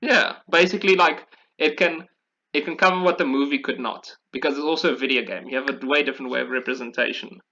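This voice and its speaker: A man's voice: mid-range voice, fast talker, bright, forceful tone, dull audio. A man chatting casually and enthusiastically about video games in an informal way, as a podcast host.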